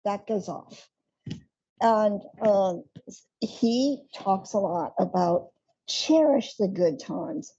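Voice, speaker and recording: A woman's voice: medium pitch, expressive, very slow, warm tone, breathy edge, lo-fi sound. A woman speaking reflectively on a panel, with many ums and you-knows.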